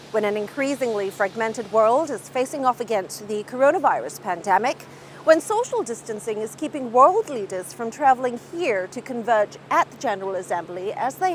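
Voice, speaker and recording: A woman news correspondent delivering formal, measured broadcast reports. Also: fairly high pitch, slight background noise, rough recording.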